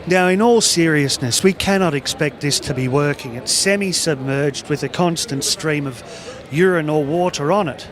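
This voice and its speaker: A man's voice: a little high, moderate pace, a crowd in the background. A man casually interviewing about products on location at a trade show. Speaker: interviewer from an audio shop.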